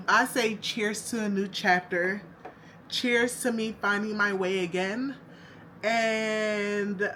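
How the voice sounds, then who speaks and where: A woman's voice: medium pitch, a bit bright, slight background noise. A woman in her thirties speaking candidly and from the heart in a casual confessional vlog, stretching words for emphasis. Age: 30s. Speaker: vlogger.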